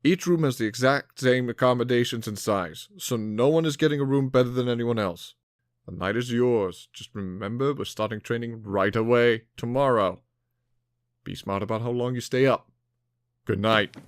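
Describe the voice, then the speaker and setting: A man playing a brisk, friendly master who guides new recruits in an audio drama, in an instructive, announcing manner. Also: mid-range voice, dry recording, clean studio sound, lightly acted. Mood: matter-of-fact.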